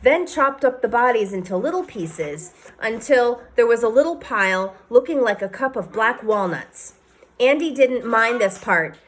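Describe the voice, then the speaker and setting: A woman teacher reading a children's novel aloud with expressive storytelling and varied emphasis. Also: on the high side, moderate pace.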